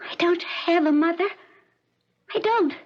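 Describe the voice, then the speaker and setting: An actress playing a frightened, withdrawn young woman patient in a radio drama, her voice high, thin and tearful. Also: measured pace. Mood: pleading, tearful. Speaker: hospital patient. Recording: dull lo-fi old radio recording.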